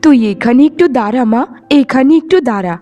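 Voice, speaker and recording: A woman's voice: high-pitched, lively intonation, a little fast, warm tone. A woman voicing a kindly, timid aunt in a cartoon, solicitous and motherly. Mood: kind, concerned. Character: kindly aunt, timid wife of a domineering man. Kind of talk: cartoon voice acting. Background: faint music.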